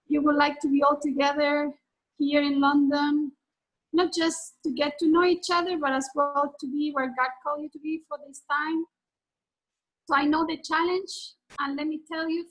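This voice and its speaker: A woman in her forties from Argentina, a native Spanish speaker with an Argentine accent, giving an earnest, halting teaching over a video call. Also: sits high, poor audio. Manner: earnest, halting, with many fillers and restarts.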